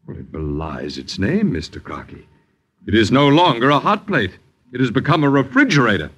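An actor playing an uppity, needling boarder in a 1950s radio drama, with florid diction and mock politeness. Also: male voice, mid-range voice, wildly swooping pitch, normal speed, fairly bright tone. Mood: sardonic. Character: suave, sardonic, uppity boarder who needles his landlords.

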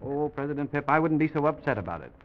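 Male children's storyteller: brisk pace, medium pitch, gentle simple phrasing, dull and slightly hissy vintage sound. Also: big pitch swings. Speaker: storyteller and host of children's show. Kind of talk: narrating a children's make-believe story. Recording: dull, noisy vintage broadcast recording.

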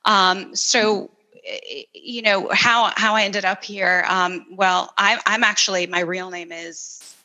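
A woman's voice: mid-range voice, fairly even intonation, slow pace, poor audio. A middle-aged woman from El Salvador, an architect talking through her background as an interview guest, with frequent restarts and fillers. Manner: warm, enthusiastic storytelling with frequent restarts and "um"s. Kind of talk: conversational interview.

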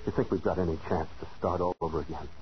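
An actor playing a bitter, suspicious, wounded husband in a 1940s radio thriller, hard-bitten and insistent. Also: male voice, low voice, fast, close to an everyday voice. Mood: wistful, softened. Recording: muffled 1940s radio recording, faint noise.

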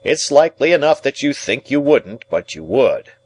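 A man's voice: medium pitch, very animated, normal speed, a little mellow, husky, pitched above the performer's own voice. A man voicing a domineering, shrewd middle-aged lawyer in an audiobook, with an emphatic, interrogating and pedantic delivery. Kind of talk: audiobook character dialogue.

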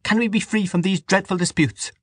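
Man putting on a reproving, distressed mother, pitched up. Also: brisk, breathy edge, no room sound, clean studio sound. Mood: reproachful. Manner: reproving, pleading. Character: distressed, reproving wife and mother pleading for peace.

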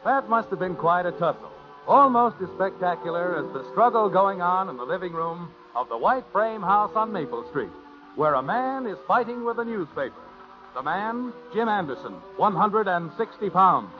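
A man's voice: high voice, big pitch swings, moderate pace, soft background music. An American man announcing and narrating a 1950s radio show in a mock-dramatic storytelling manner. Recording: muffled old radio recording.

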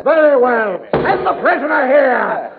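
Gravelly, blustering pirate captain. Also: male voice, very high-pitched, sing-song, measured pace, a loud crowd, exaggerated voice. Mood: gruff command. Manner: archaic swaggering pirate speech. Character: blustering, hearty pirate captain, rough and bossy. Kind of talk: 1950s radio drama acting. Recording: tinny 1950s radio recording, noisy background.

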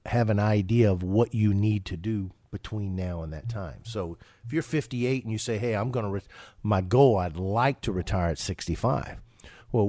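A man's voice: on the low side, dark tone, dry recording, clean studio sound. A man hosting a financial radio show, talking casually and often restarting his sentences with repeated words.

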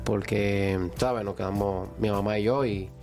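A young man from with a naturally raspy voice, telling his story as a guest on a radio show. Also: on the low side, steady tone, a little slow, a little mellow, soft background music. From Puerto Rico. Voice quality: hoarse, raspy voice. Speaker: guest singer and radio announcer.

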